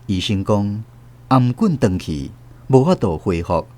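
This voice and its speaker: An actor playing a wistful older emigrant recalling lost love, narrating a radio drama reflectively with a Taiwanese accent. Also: male voice, lowish voice, sing-song, measured pace, darkish tone. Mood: sombre remembrance.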